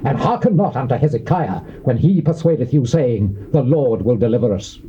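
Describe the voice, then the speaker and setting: An actor with a British accent playing a boastful, threatening Assyrian envoy, declaiming in a taunting, theatrical biblical drama style. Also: male voice, mid-range voice, animated, normal speed, mellow, close to an everyday voice. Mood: loud taunting proclamation. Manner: declamatory, taunting, archaic oratory. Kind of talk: theatrical dramatized scripture reading. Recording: muffled old cassette with background hiss.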